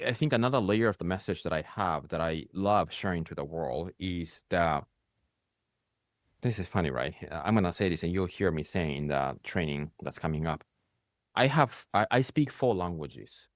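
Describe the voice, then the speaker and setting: A man with a low voice and a Japanese accent teaches in an easy, reflective way. His voice often lifts in short bursts and then settles. The sound is dry and close, with little room around it. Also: lively intonation, moderate pace, no room sound. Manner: speaks in rising bursts, often ends with "right?". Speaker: spiritual coach hosting a conversation.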